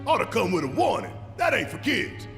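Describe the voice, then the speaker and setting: An actor playing a gruff, suspicious, hot-tempered rebel fighter in a video game, gravelly and brash with streetwise slang. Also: male voice, high-pitched, big pitch swings, brisk, in a large hall, music in the background. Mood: disgusted. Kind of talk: dramatic video game acting.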